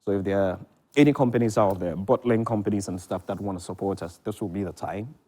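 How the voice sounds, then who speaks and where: A man with a Ghanaian accent speaks in a fairly low-pitched voice. He talks conversationally, as a theatre producer and director answering questions in a TV interview. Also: quick pace, mellow. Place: television studio.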